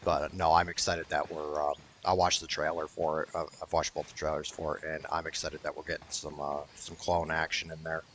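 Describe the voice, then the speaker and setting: Low-voiced man rambling over gameplay. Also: little pitch movement, fairly quick, hardly any pauses, a bit bright, slight background noise. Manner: rambling, mildly profane. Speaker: gaming video host. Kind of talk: casual unscripted gameplay commentary.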